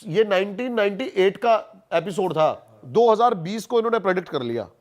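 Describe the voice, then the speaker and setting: A man's voice: sits high, animated, moderate pace. A man in casual podcast conversation, telling stories and explaining things emphatically, repeating words for stress. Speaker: podcast guest.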